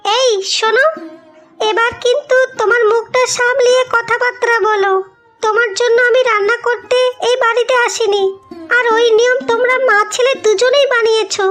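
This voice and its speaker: A woman's voice: extremely high pitch, steady tone, normal speed, a bit bright, soft background music. A woman voicing a vain, quarrelsome young bride who scolds indignantly in a melodramatic cartoon. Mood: haughty, indignant. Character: vain, haughty, quarrelsome young new wife.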